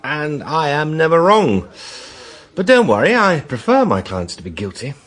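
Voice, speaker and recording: Cocksure British barrister, husky and animated. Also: male voice, fairly high pitch, normal speed. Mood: smug. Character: cocksure, suave male defence barrister, theatrical and sly. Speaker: defence barrister. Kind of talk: British courtroom radio drama.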